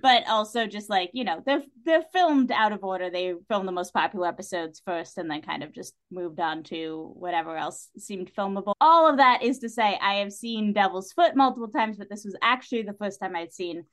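A woman's voice: mid-range voice, brisk, hardly any pauses. Woman chatting casually on a podcast. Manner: animated storytelling with frequent "like" and acted-out reactions. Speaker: podcast guest.

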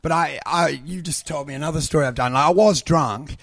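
A man with a very loud voice, guest comedian rambling and laughing in a casual podcast chat. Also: fairly high pitch, fairly quick, dry recording, clean studio sound.

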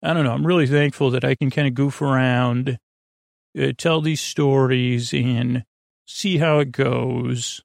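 A man's voice: mid-range voice, fairly even intonation, a little slow, vocal fry, no echo, studio quality. A man hosting a bedtime podcast, rambling in a friendly, meandering way, often trailing off and restarting. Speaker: podcast host.

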